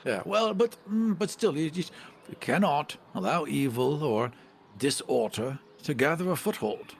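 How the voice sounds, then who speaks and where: A man with a husky voice improvising as a player in a tabletop game, often in mock-solemn, formal phrases. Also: a little high, expressive, a little slow, a little hiss or hum. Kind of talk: improvised tabletop role-play with casual narration.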